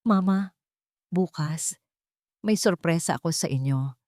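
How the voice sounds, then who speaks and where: A woman's voice: lowish voice, measured pace, warm tone, no room sound, clean studio sound, lightly acted. A woman voicing a two-faced, scornful daughter-in-law in an audio story, mocking or falsely sweet with menace. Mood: sweetly menacing.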